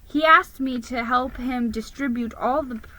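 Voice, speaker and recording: Woman acting timid male mouse, storybook hero, polite, hesitant, high-set, very bright tone. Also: normal speed, rough recording. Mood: resigned.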